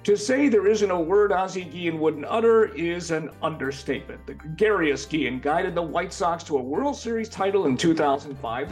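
A male host reads a polished podcast introduction in a scripted announcer style. His voice sits high for a man. Faint music plays quietly beneath him. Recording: faint music bed under voice.

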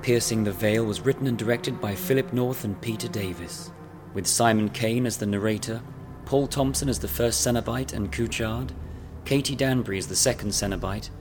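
A man reading the credits of a radio drama in a plain, even way. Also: lowish voice, normal speed. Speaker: credits announcer. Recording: loud music under voice.

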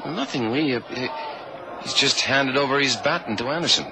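A man's voice: mid-range voice, fairly quick, noisy background, lightly acted. An actor playing an investigator's casual, observant male sidekick in a radio drama, with informal questions and reports. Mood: uncertain.

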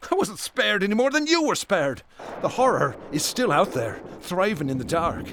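Haunted male detective, fairly high voice, bitter, obsessive, brooding, florid theatrical delivery, radio drama. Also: very animated, normal speed, background noise. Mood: agitated, despairing.

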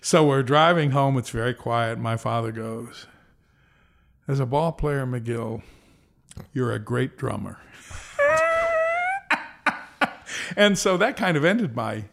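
Interview guest, man in his sixties, gravelly, rambling storyteller. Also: mid-range voice, very animated, slow pace, long pauses, fairly bright tone. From American Southwest. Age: 60s. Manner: rambling, self-correcting anecdotes with playful voices. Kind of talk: reflective storytelling.

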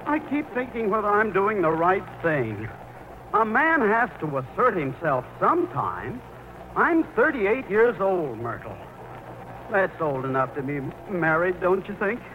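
Timid, dithering middle-aged mama's boy, swooping pitch. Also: sing-song, a little slow. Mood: nervous, earnest. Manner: hesitant, earnest, stammering nervously. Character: baffled, timid 38-year-old mama's boy. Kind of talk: 1940s radio comedy acting. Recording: muffled, noisy old radio broadcast.

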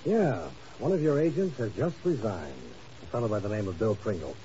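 An actor playing a determined, defiant secret agent in a 1950s radio drama. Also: male voice, fairly low pitch, very animated, brisk, warm tone. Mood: wry, defiant. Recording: dull, hissy 1950s radio recording.

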